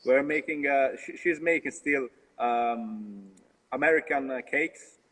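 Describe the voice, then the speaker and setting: Italian-accented man, halting and reverberant. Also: medium pitch, fairly bright tone, husky. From Italy. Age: young adult. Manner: halting, many fillers and restarts. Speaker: brewer, guest. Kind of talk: casual interview over a live stream. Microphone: video call.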